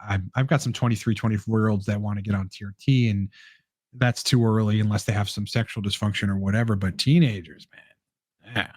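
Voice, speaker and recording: A man's voice: fairly low pitch, fairly even intonation, a little fast, a little mellow, no echo. A man talking casually as a podcast guest, rambling with lots of fillers, restarts and swearing. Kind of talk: casual chat.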